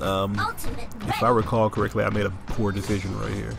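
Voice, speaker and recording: A man's voice: fairly low pitch, vocal fry, over music. Man coaching through gameplay, a bit stuffy. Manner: explanatory, coaching tone with quick excited asides. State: slightly stuffy nose. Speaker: gameplay commentator. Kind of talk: casual gameplay commentary.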